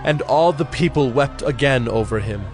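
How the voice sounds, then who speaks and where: A man narrating dramatized scripture from a script in a measured, formal manner. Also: mid-range voice, expressive. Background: music.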